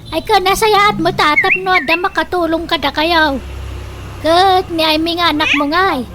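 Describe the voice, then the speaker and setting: A woman's voice: extremely high pitch, fairly even intonation, normal speed, background noise. A woman playing a boastful, gossipy middle-aged village mother in a comedic radio drama, bragging and needling. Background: music.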